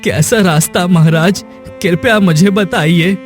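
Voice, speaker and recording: A man's voice: sits high, measured pace. A man playing an honest, hardworking poor farmer in a cartoon moral story, speaking earnestly. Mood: pleading. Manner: earnest, pleading when accused. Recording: music behind the voice.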